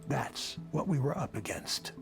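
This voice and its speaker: A self-justifying male project leader in a video game. His delivery is theatrical and very lively, with a gravelly creak in the voice. He argues emphatically and persuasively over background music. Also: mid-range voice, unhurried. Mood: defensive, indignant. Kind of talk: visual novel voice acting.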